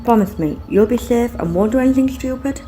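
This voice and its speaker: A woman's voice: a little high, brisk, dark tone, soft background music, natural voice. A woman playing a caring young space traveler in a fan audio drama. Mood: worried.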